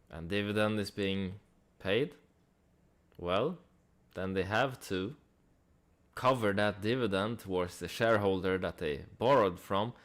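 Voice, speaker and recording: A man with a fairly low voice talks very slowly, as a host giving casual commentary. His delivery is conversational, leaning on rhetorical questions and dry asides. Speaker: host of a stock analysis channel.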